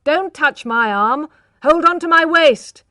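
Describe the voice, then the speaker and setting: A woman reading a storybook aloud, voicing a reckless young gentleman who shouts orders and mocks. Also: medium pitch, lively intonation, unhurried, no room sound, studio quality, natural voice. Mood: bullying. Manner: loud, commanding, mocking. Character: brash reckless young male gentleman driver.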